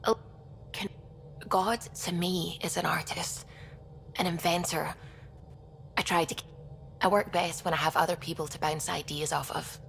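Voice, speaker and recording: An actress playing an earnest, curious and sociable young science crew member in a video game, chatty with hesitant restarts. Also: female voice, lowish voice, steady tone, normal speed, gravelly, long pauses, fairly bright tone, slight background noise, poor audio.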